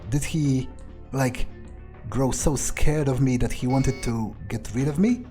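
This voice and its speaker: A man voicing an arrogant, brooding vampire in a game playthrough, musing aloud in a halting, profane way. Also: mid-range voice, dark tone, soft background music, close to an everyday voice. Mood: baffled. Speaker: gaming YouTuber. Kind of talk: in-character game playthrough monologue, thinking aloud.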